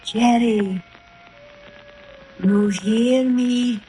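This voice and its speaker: Creepy hypnotist lady, talks super slow and commanding, old radio drama. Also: on the high side, steady tone, warm tone, faint music behind, rough recording. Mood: hypnotic. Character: sinister woman hypnotist calling in a trance. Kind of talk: 1930s radio drama acting.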